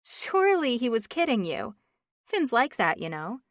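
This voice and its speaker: A woman voicing an excitable young woman friend chatting on the phone, gushing and teasing, in a dry, close reading. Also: fairly high pitch, moderate pace, no room sound, voice pushed up. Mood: excited.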